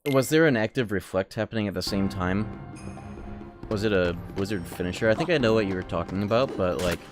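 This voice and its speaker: A man with a low voice commentates casually on the game he is streaming. He mutters to himself and swears freely, with loud game music running behind him. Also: fairly quick. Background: loud video game music and effects.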